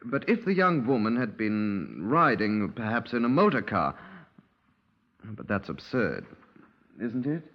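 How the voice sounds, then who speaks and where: An actor playing a precise, cautious British medical examiner in a 1950s radio crime drama, clinical and formal in manner. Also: male voice, fairly low pitch, big pitch swings, a little slow, heavily acted. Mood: cautious, hesitant. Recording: dull 1950s radio recording.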